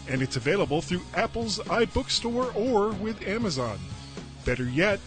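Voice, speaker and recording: A voice reading a scripted advert as an upbeat sales pitch with swooping intonation. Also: fairly bright tone.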